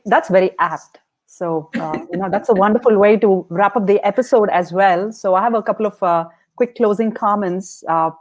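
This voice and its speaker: A woman hosting a podcast interview, chatty and upbeat, asking quick questions and reading out listener comments. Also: mid-range voice, a little mellow, rough recording. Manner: upbeat, chatty, laughs easily, fast promotional reminders. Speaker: podcast host.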